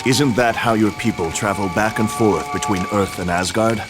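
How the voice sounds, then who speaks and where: An actor playing a cautious, serious superhero in a video game, plain-spoken and earnest. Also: male voice, fairly low pitch, normal speed, music in the background, close to an everyday voice. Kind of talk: video game hero acting.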